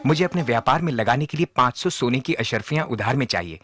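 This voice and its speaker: A man's voice: mid-range voice, expressive, quick pace, music in the background, close to an everyday voice. A man playing a loyal merchant friend in need in a moral-story cartoon, earnest and polite. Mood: urgent, pleading. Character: male merchant friend, needy, grateful and loyal. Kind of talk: animated moral-story dubbing.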